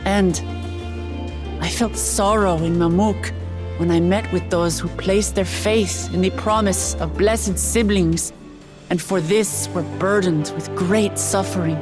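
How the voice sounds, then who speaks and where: An actress playing an earnest, warm-hearted young woman who has just become ruler, delivering a heartfelt ascension speech in a video game cutscene. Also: on the low side, steady tone, slow. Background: orchestral game music.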